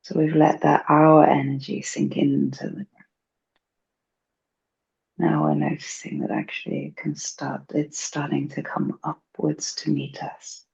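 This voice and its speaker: Husky, low-fi woman guiding meditation. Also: fairly even intonation. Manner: gentle, hushed, unhurried phrasing. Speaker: guided meditation teacher. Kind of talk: slow, soothing guided meditation. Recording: dull, muffled lo-fi recording.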